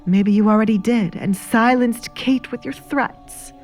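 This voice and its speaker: A woman's voice: on the high side, measured pace, darkish tone, soft background music, close to an everyday voice. Woman acting a sly, haughty, menacing baroness. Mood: mock-wounded accusing. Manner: menacing, mock-wounded, haughty. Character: sly, cruel, scheming baroness, a married mother. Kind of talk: dramatic audio drama acting.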